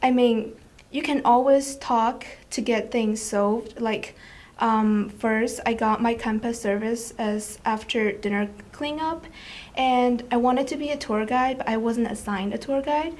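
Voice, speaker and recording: A woman's voice: on the high side, measured pace, a little mellow. A teenage girl from China, a student, chatting casually and hesitantly with many fillers. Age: teens. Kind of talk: casual interview chat.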